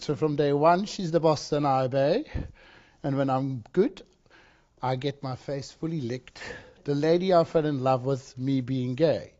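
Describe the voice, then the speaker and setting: A man with a slightly high voice gives a personal talk at a conference, often stopping, restarting and repeating words. The recording is dull and lo-fi. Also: a little mellow. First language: not Dutch. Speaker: conference speaker. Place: conference hall.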